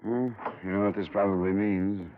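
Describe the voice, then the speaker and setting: An actor playing a tough, skeptical insurance investigator in a 1950s radio crime drama, terse and world-weary. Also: male voice, sits low, fairly even intonation, normal speed, slight background noise, lightly acted. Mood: grim. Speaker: lead actor and narrator. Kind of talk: 1950s hard-boiled radio crime acting. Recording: muffled old radio recording with music.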